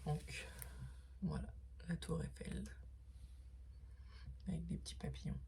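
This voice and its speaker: A middle-aged woman, hoarse this morning, chatting casually through an unboxing with many fillers and restarts, sometimes dropping to a whisper. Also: deep voice, flat delivery, slow pace. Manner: drops to a whisper at times. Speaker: vlogger unboxing craft supplies.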